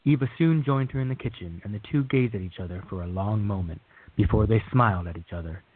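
A man's voice: fairly low pitch, fast, slightly rough. A man reading scripted story narration for a radio drama. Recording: muffled, phone-like narrow-band audio.

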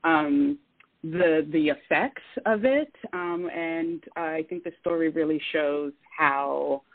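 Radio guest with an even mid-range voice, earnest and measured, heard on a dry phone-like line. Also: medium pitch, dry recording. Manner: earnest, measured, with many fillers. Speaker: guest artist. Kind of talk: casual interview talk.

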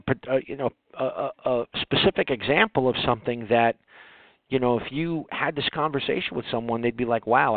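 A male interview host speaks in a mid-pitched voice through a thin, phone-like signal with little room sound. His delivery is conversational and halting, with repeated words as he builds each thought. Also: no echo.

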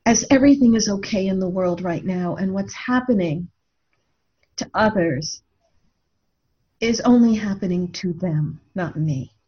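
A woman's voice: mid-range voice, darkish tone, slightly rough, muffled recording. A woman speaking reflectively in a discussion, earnest and thoughtful, often posing rhetorical questions. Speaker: radio show co-host and author.

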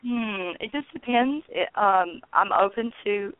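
A woman in her sixties, a guest on a radio interview, talking casually and haltingly with many ums and restarts. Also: mid-range voice, dry recording. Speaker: guest, founder of a peace network. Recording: tinny phone line.

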